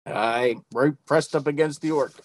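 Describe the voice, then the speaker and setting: A man with a slightly high voice talks as a player at a tabletop game, casual and bantering. The sound is fairly dry. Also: moderate pace, no room sound.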